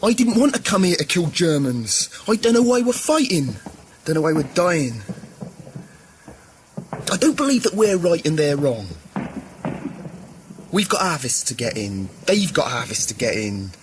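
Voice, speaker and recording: Dying young country soldier, emotional. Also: male voice, a little high, big pitch swings, measured pace, pauses often, background noise. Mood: weary, defiant. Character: dying young village soldier, weary, regretful, defiant. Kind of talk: radio play acting.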